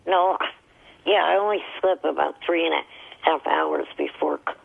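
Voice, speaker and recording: A man's voice: on the high side, normal speed, gravelly, breathy edge. A man on the telephone, answering in a curt, irritable, complaining way during a grudging casual conversation. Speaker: show regular phoning in. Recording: noisy thin telephone line.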